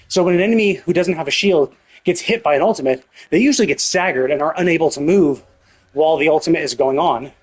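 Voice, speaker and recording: High-pitched man rambling on a game stream. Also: husky. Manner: rambling, opinionated; casual swearing. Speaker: live streamer. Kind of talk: casual live game stream commentary.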